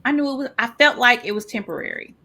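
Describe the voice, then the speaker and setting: A woman's voice: a little high, very animated, quick pace, gravelly, bright, forceful tone. A woman guest speaking candidly and animatedly in an interview about her own experience. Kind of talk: casual interview talk.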